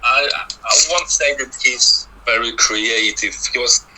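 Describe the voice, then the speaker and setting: Man, Polish accent, native Polish speaker, very bright sharp tone, medium pitch, stammered repetitions, casual football analysis. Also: steady tone, unhurried, low-quality recording. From Poland. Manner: stammering, repeats words as he searches for phrasing. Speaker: football scouting expert, guest.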